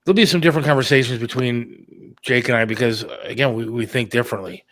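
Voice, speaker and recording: A man's voice: medium pitch, quick pace, a bit bright, dry recording. A man hosting a talk show, chatting casually in an informal, plain-spoken, conversational way. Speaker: radio producer and talk show host. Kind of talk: casual chat about an upcoming radio show.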